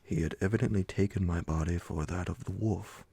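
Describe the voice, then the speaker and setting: A man narrates classic horror as a bedtime story, very low and heavily creaky. His delivery is breathy and often near a whisper, unhurried and full of dramatic pauses. The sound is dry and close. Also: dark tone, no room sound. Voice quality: gravelly, breathy, often sinking toward a whisper. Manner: dramatic, drawn-out phrasing with many pauses. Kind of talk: slow ASMR bedtime audiobook narration.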